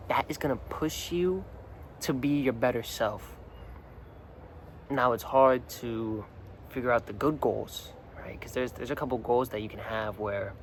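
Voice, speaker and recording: Slightly hoarse young man rambling earnestly. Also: mid-range voice, slight background noise. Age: young adult. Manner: rambling, earnest, repeats words, many "like"s. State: slightly hoarse from singing the night before. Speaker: vlogger. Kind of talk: casual talk to camera. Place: outdoors, hot and sunny.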